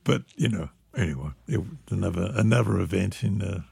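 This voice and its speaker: Gravelly-voiced older man reminiscing. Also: low voice, a little fast, no echo. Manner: animated storyteller, laughs often. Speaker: musician guest. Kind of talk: reminiscing interview chat.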